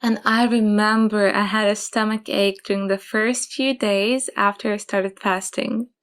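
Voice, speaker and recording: A young woman, calmly telling her own story in a soft, measured way. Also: medium pitch, clean tone. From Japan. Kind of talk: calm personal testimony.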